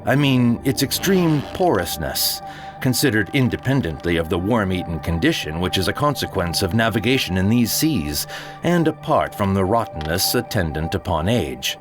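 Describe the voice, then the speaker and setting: A man gives a measured, solemn first-person reading of a period sea tale in a one-man audio drama. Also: sits low, very animated. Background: music.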